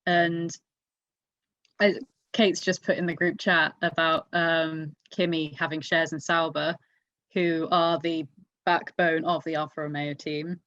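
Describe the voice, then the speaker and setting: Woman chatting, lower-pitched, dry sound. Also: flat delivery, a little slow, fairly bright tone, dry recording. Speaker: podcast panellist. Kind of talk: casual motorsport panel chat. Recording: dry, slightly dull lo-fi mic.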